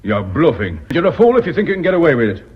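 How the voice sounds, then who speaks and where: An actor playing a gruff, blunt company boss in a radio drama, curt and scornful in his delivery. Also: a little high, huge pitch swings, quick pace. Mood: scornful, angry. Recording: muffled vintage radio drama recording.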